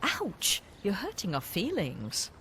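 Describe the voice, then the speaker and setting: A woman's voice: medium pitch, huge pitch swings, takes it slowly, sharp tone, a little hiss or hum, full character voice. A woman playing a wry, sarcastic fantasy adventurer in a video game.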